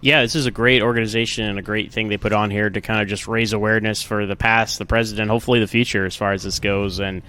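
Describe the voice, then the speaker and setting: A man's voice: lowish voice, fairly even intonation, quick pace, hardly any pauses, sharp tone, smooth. A man speaking as a podcast guest in casual, enthusiastic conversation.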